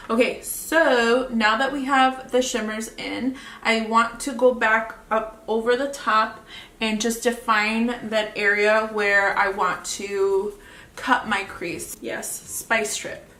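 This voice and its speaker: A woman's voice: fairly high pitch, little pitch movement, slow, sharp tone. A woman with a Mexican accent chatting casually through a makeup tutorial, often trailing off mid-sentence. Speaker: beauty vlogger. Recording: echoey room, mic at a distance, faint hiss.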